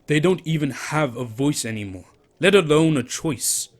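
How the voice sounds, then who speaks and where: A man's voice: a little high, lively intonation, moderate pace. An actor playing an angry man who rails against the rich, impassioned and lecturing.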